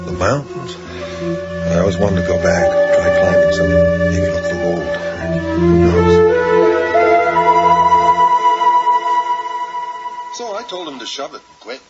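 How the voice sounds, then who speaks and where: A man's voice: very high-pitched, huge pitch swings, normal speed, exaggerated voice. An actor playing a restless, confused middle-aged real estate salesman with a Canadian accent and a casual, rambling manner in a radio drama. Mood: reminiscing. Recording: dull 1980s radio broadcast with music.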